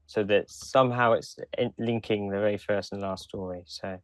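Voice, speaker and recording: Man, low pitch, dry recording, casual podcast chat. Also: fairly even intonation, moderate pace, no echo. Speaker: podcast co-host.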